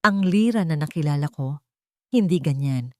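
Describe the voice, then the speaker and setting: A woman's voice: low-pitched, animated, moderate pace, warm tone, no room sound, clean studio sound. A woman voicing a determined, protective young man in an urgent, persuasive manner for an audio love story. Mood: insistent.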